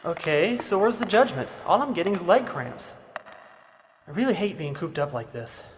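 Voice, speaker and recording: An actor playing a panicky, whining son of a rich patriarch, with a tense, complaining manner in an audio drama. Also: male voice, sits high, expressive, moderate pace, a little hiss or hum. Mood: impatient. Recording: reverberant enclosed space.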